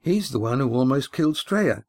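A man's voice: mid-range voice, animated, normal speed, darkish tone, voice pushed up, close to an everyday voice. A man voicing a spirited, defiant teenage village girl who speaks in an accusing, insistent way in a storybook reading.

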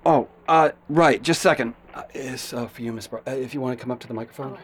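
A man's voice: mid-range voice, fast talker, husky. A man playing a nervous, flustered public radio announcer in a horror radio drama, stammering and hesitant.

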